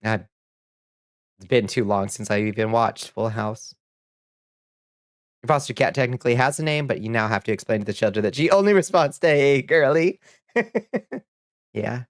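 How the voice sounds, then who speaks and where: Guy streaming a game and reading chat out loud, rambly and laughy, bit sniffly, middle-pitched voice, dry mic. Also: lively intonation, no echo. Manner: rambling, chatty, quick laughs, reads chat aloud. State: a little sniffly. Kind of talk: casual chat while gaming.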